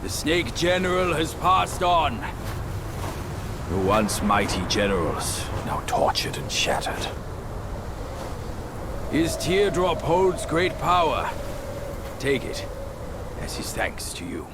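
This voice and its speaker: A man's voice: a little high, very animated, slow, pauses often, heavy background noise, full character voice. An actor playing a grave, consoling male herald in a game. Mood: solemn.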